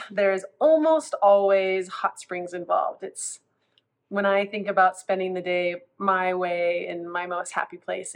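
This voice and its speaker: A woman in her thirties, a food coach, chatting casually in a vlog, a bit congested from a cold and rambling with restarts. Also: on the low side.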